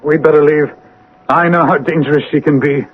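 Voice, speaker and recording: An actor playing a timid, frightened gentleman in a classic radio drama, husky and nervous. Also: fairly high pitch, steady tone, brisk. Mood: nervous, fearful. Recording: dull old radio broadcast.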